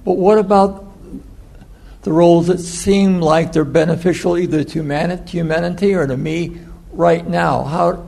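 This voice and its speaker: A man's voice: on the high side, fairly even intonation, moderate pace, gravelly, a little mellow. A man in the audience at a talk, putting a question to the speaker. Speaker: audience member asking a question.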